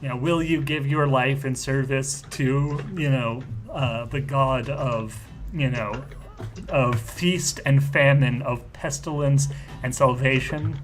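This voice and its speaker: A man casually improvising narration for a tabletop role-playing game, haltingly and with many asides. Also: mid-range voice, steady tone, soft background music. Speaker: tabletop role-play player narrating scenes.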